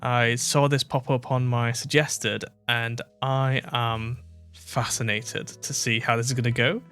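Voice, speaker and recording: A man's voice: medium pitch, little pitch movement, unhurried, fairly bright tone, clean tone. A British man talks his way through a video he is watching, musing aloud with frequent "um"s and restarts. His voice sits in an ordinary middle register. Faint music plays underneath. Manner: chatty, thinks aloud with fillers and restarts. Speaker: reaction video host. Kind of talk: casual reaction commentary.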